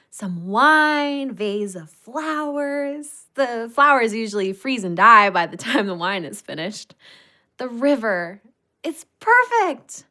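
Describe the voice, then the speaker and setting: An actress playing a playful, excitable, tipsy woman on a girls' night, in an audio drama. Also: high voice, full character voice. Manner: expressive, playful.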